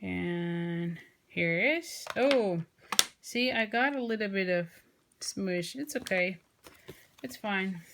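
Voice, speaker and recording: A woman's voice: medium pitch, expressive, takes it slowly, background noise. Woman, Mexican Spanish accent, Spanish native speaker, chatty craft host, enthusiastic, lots of ums. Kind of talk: casual tutorial chat.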